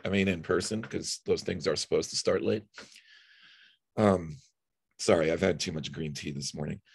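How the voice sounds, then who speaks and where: A man hosting an online event, giving informal introductions in a halting, hesitant way. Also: low-pitched. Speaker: host introducing poets. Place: online video call.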